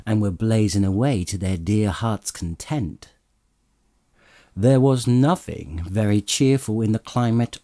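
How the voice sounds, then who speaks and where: A man's voice: on the low side, warm tone, dry recording, clean studio sound. A man narrating a classic literary story as an audiobook, theatrically savoring each descriptive phrase.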